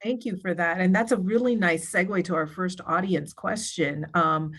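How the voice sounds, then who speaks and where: A woman's voice: lowish voice, moderate pace, fairly bright tone, slight background noise. A woman moderating a panel discussion, politely passing along audience questions.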